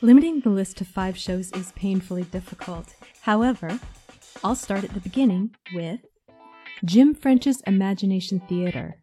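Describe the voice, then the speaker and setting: A woman with a mellow, medium-pitched voice reads a prepared review. She is articulate and enthusiastic, and plucked guitar music plays faintly behind her. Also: normal speed. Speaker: listener reviewing favourite audio dramas. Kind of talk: scripted review narration.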